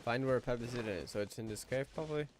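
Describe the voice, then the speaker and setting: A man casually thinking aloud and reacting excitedly while playing a story game. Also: medium pitch, fast, very noisy. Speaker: gaming YouTuber. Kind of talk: let's play reaction commentary. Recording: voice over loud game music.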